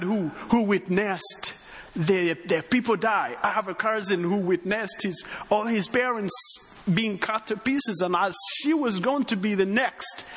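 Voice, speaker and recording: A man's voice: high-pitched, fairly bright tone, in a large hall, low-quality recording. A man from Rwanda, with his own accent and a slight Texan touch, preaching as a guest pastor, emphatic and full of repeated phrases and call-outs. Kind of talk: sermon.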